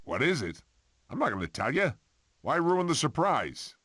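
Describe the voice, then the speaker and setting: An actor playing a cocky, playful prehistoric animal in an animated video game. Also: male voice, on the high side, normal speed, a little hiss or hum. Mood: coaxing.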